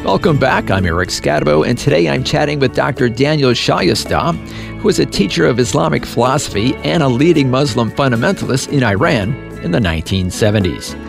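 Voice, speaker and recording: Male host, gravelly, measured read, music bed behind. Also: medium pitch, expressive. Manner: measured, reads introductions evenly. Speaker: radio show host. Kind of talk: scripted radio hosting and interview.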